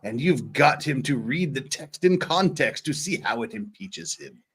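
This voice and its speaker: Guy reading as a male lawyer arguing to a judge, earnest, swingy pitch, transcript reading. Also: expressive, unhurried, a bit bright, breathy edge, full character voice. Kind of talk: dramatic reading of a court transcript.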